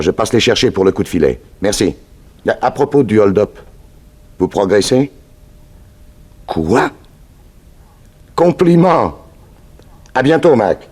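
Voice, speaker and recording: A take-charge detective from a vintage radio serial. He speaks in a mid-pitched, authoritative voice with very lively intonation. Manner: brisk, authoritative. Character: brisk commanding male detective. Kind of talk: 1960s French radio crime drama acting.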